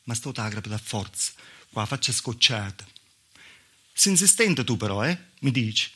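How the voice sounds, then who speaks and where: A man's voice: mid-range voice, big pitch swings, normal speed, sharp tone, husky, heavily acted. A man performing a theatrical dialect monologue as a southern Italian village woman, with a Calabrian accent and an intimate, repetitive storytelling manner. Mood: confiding, wry. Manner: intimate storytelling with repetitions and spoken sound effects. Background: live music accompaniment.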